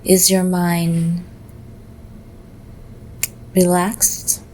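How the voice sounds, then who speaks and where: A woman with a fairly low voice guides a meditation very slowly. Her delivery is gentle and measured, with long pauses between phrases. There is clear background noise behind her. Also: completely flat delivery.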